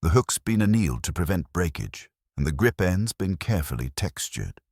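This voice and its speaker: A man reading as a proud, very deep-voiced craftsman. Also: very animated, normal speed, a little mellow, breathy edge, dry recording, studio quality, full character voice. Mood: proud, satisfied. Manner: matter-of-fact, pleased with own expertise. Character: proud, self-satisfied male craftsman, mechanical expert. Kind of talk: mystery audiobook dialogue.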